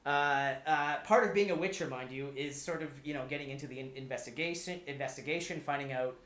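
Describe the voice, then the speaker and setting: A man chatting casually on a podcast as a guest, explaining things in a halting, rambling way with repeated words. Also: fairly high pitch, a bit bright. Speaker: podcast guest pitching a film adaptation. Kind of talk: casual chat. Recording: echoey room.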